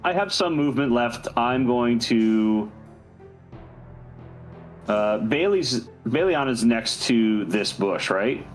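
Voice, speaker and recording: A man's voice: mid-range voice, animated, takes it slowly, low-quality recording. A man chatting casually as a player in a tabletop game, calling out his moves. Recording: game music playing behind.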